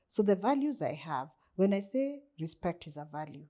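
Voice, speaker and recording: A Kenyan woman with a lowish, mellow voice, speaking as an interview guest. She reflects slowly and often asks herself rhetorical questions as she works through an idea. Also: sing-song. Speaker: guest, coach.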